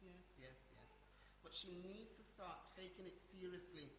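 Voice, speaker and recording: A woman's voice: fairly low pitch, lively intonation, moderate pace, pushed and bright. A woman playing an insistent, worried sister in a radio play, exasperated with her brothers and repeating herself. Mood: worried, pressing. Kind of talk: live-performed radio play. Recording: echoey hall, faint noise.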